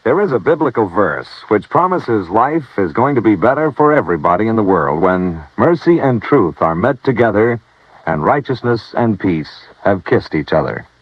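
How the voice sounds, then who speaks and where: A man reading scripted announcements in a measured, solemn, formal 1950s radio announcing style. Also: lowish voice, very animated, husky, no room sound. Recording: dry, muffled vintage radio recording.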